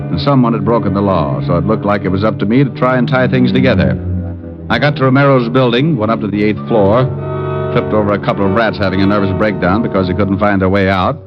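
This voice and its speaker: A low, sardonic male private eye, played by an actor in an old radio drama. He talks like a tough, wisecracking gumshoe. The vintage recording is dull, with music behind him. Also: close to an everyday voice. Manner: wry, hard-boiled, sardonic. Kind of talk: 1940s-50s radio detective acting.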